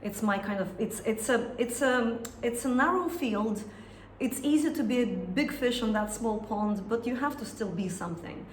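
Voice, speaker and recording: A woman's voice: on the high side, moderate pace, hardly any pauses. Russian-accented woman, thoughtful, echoey room. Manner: thoughtful, searching for words, frequent restarts. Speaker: singer and songwriter. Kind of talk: interview conversation. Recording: echoey room with some noise.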